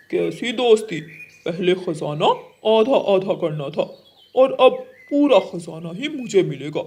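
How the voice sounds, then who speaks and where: Cartoon crow, male rogue, acted out: husky, slow, greedy and treacherous, exaggerated delivery. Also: mid-range voice, lively intonation, a little mellow, exaggerated voice. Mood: gloating. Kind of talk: Hindi children's cartoon acting.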